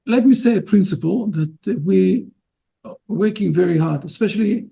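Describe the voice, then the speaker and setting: Gravelly high-pitched man, halting English. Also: dark tone. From Israel. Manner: halting non-native English with frequent fillers. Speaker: rabbi. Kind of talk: interview guest.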